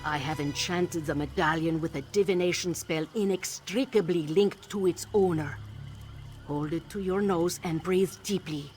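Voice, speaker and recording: Actress as a solemn Nord wolf shamaness, music behind. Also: female voice, lowish voice, moderate pace, a little mellow, close to an everyday voice. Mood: solemn, commanding. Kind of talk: video game character voice acting.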